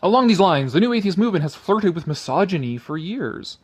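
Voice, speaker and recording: A man's voice: sits high, very animated, a little fast, breathy edge. A man mixing dramatic reading of an article with informal, mocking, profane and exasperated commentary, hammering points by repetition.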